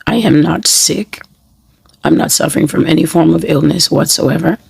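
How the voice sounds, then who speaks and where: Hoarse woman preaching slowly. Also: low-pitched, dry recording. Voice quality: raspy, breathy and gravelly. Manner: slow, measured and repetitive, with emphatic phrasing. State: hoarse, has lost her voice. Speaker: prophetic minister. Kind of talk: spoken sermon to camera. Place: New York City.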